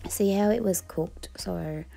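A woman, chatting casually in a home kitchen while cooking and eating, talking in a relaxed, rambling way with many ums. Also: mid-range voice, slow, gravelly, soft background music. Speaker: food vlogger. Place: home kitchen and dining table indoors. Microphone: phone on a tripod.

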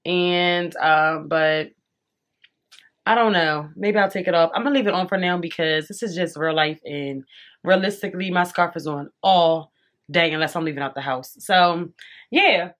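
A woman's voice: fairly low pitch, normal speed, a bit bright. A young woman vlogging casually to camera from her bedroom, chatty and eating as she talks, a little congested. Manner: chatty, addresses viewers as y'all, eats while talking. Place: bedroom at home.

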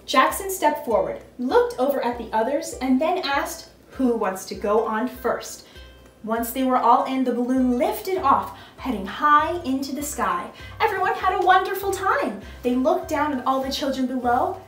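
A woman's voice: high-pitched, expressive, moderate pace, hardly any pauses, a bit bright, faint music behind. A young adult woman narrating a children's storybook expressively and putting questions to small children. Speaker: costumed storytime host reading as a princess. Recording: echoey room.

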